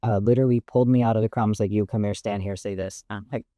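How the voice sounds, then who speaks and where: A woman with a relatively deep voice, a guest actress telling stories in an animated, laughing way. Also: racing, no echo, clean studio sound. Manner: animated, laughs often, voice jumps up when excited. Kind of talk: casual interview storytelling.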